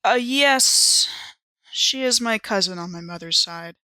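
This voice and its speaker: A woman's voice: mid-range voice, expressive, a little slow, sharp tone, no echo. A woman improvising the role of a wry, playful, self-possessed traveller in an audio-drama game.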